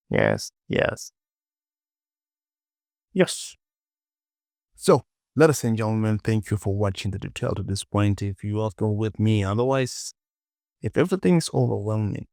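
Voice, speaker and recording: Slow, gravelly low-voiced man thinking aloud. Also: darkish tone, no echo, clean studio sound. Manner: muttering, repetitive self-talk. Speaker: 3D modeling tutorial host. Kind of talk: casual screen-recorded tutorial, thinking aloud.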